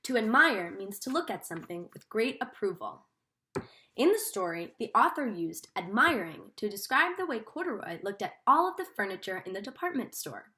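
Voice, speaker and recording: A woman teacher reading a storybook aloud expressively, in a deliberate, instructive manner. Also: medium pitch, very animated, bright, forceful tone. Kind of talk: expressive storybook read-aloud with comprehension questions.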